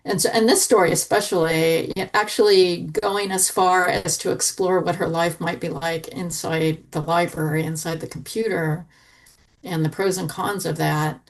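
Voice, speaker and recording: A woman's voice: on the low side, steady tone, moderate pace, recorded from a distance, low-quality recording. A woman on a podcast panel talking casually and reflectively, thoughtfully circling back over her phrases.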